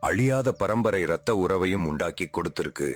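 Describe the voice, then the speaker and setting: A man's voice: sits low, lively intonation, moderate pace. A man playing a protective, wealthy elder brother in a dramatised moral story. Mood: reassuring.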